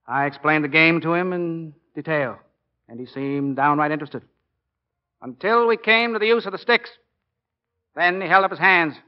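A man acting a middle-aged American football coach in a 1940s radio drama. His voice is high for a man, and he speaks with earnest, plain-spoken oratorical force. The recording is muffled, as old broadcasts are. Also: moderate pace, natural voice. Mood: wry, folksy. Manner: emphatic, oratorical.

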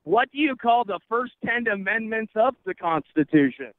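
High-voiced man, muffled radio feed. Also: animated, moderate pace, no echo. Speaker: street quiz host on a radio show. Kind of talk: comic street interview.

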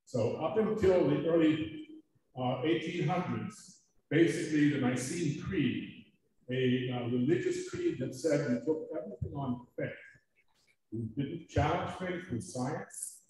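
A man giving a lecture at a society meeting, talking haltingly with many fillers. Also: on the high side, warm tone. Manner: halting, many ums and uhs. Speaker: guest speaker. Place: large meeting room. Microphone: distant room mic. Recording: echoey room from far off, faint noise.

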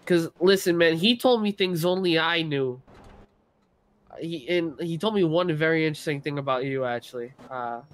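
A man's voice: a little high, moderate pace. A man improvising as a police officer in role-play, talking in radio chatter.

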